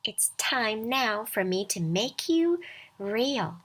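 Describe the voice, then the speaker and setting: A woman's voice: a little high, big pitch swings, takes it slowly, a bit bright, full character voice. A woman voicing a tiny, kindly magical fairy, reassuring and soothing, in a children's storybook reading.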